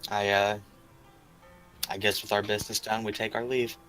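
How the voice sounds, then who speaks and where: Courteous mercenary bodyguard, played by a man: lower male register, bright forward tone, tentative phrasing. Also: flat delivery, normal speed, soft background music, lightly acted. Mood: polite, businesslike. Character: polite male mercenary bodyguard and travelling peddler.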